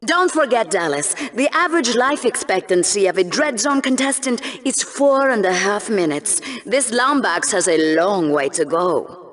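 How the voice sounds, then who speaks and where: An actress playing a sardonic, bloodthirsty co-commentator on a sci-fi bloodsport broadcast in a video game, theatrical and mocking. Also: female voice, medium pitch, animated, normal speed, fairly bright tone, natural voice. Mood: gleefully ominous. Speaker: analyst co-commentator. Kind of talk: sports broadcast banter, video game acting.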